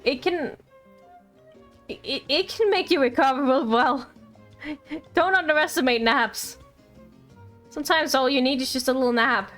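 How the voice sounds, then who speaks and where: A woman streams her reactions to a video game in a fairly high voice. She rambles casually, teases and repeats words as she goes, with faint music in the background. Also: measured pace, pauses often, a bit bright.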